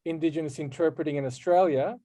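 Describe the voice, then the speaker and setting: A man's voice: fairly high pitch, fast talker, mellow. A man hosting a podcast interview, a native Turkish speaker who talks in English in a chatty way with frequent fillers. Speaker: podcast host. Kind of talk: casual interview chat.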